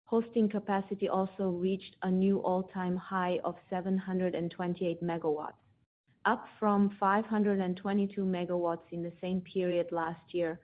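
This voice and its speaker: A woman's voice: lowish voice, level tone, moderate pace. A woman speaking as a finance executive, reading a scripted presentation of financial results in a formal manner. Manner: formal, read-out delivery. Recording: tinny phone-line conference call audio.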